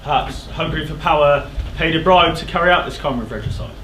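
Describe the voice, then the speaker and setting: A man's voice: a little high, fairly quick, natural voice. An actor playing a troubled, suspicious king in a stage tragedy, speaking with formal, resolute authority. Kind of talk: theatrical stage tragedy acting. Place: theatre stage. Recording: echoey theatre stage with background noise.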